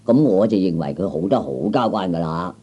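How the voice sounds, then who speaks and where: A man's voice: deep voice, expressive, brisk, dark tone, slightly rough. A man playing a genial, courteous gang boss in a Cantonese comic radio play, drawing out his words. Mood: genial, admiring.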